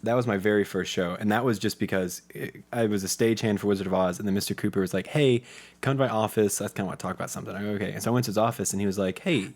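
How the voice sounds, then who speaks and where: A man's voice: on the low side, racing. A young adult man chatting casually as an interview guest, telling anecdotes and acting out bits of dialogue. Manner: animated storyteller, re-enacting dialogue in quotes. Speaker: podcast guest, student actor.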